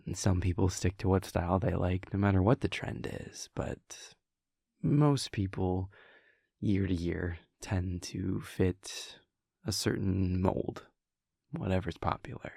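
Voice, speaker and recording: A man performs a wry, affectionate vampire prince in an intimate audio roleplay. His voice sits very low and he takes his time, speaking slowly and softly with casual hesitations. It is recorded close and dry, like a private chat with a partner. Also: dry recording, exaggerated voice. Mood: playful, casual. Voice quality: low, creaky. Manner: hesitant, chuckling, conversational, soft-spoken. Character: playful male vampire prince, affectionate boyfriend, wryly reflective. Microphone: close, dry studio-style microphone.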